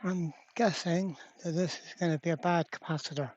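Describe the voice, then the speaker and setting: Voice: mid-range voice, fairly even intonation, fairly quick, a little mellow, no echo, highs cut off. A person narrating a hands-on repair at a workbench in a casual way, muttering to themselves. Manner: mutters to self, mild swearing. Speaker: electronics repairer presenting a workbench video. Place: indoor workbench.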